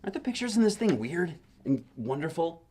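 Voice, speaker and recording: A man's voice: a little high, very animated, brisk, darkish tone, slight background noise. A man reading a picture book aloud as a dad would at bedtime, expressively, with casual, wondering asides.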